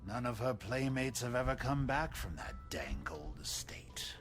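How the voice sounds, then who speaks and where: An actor playing a sly, theatrical merchant in a video game, delivering ominous exposition. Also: male voice, mid-range voice, big pitch swings, unhurried, soft background music.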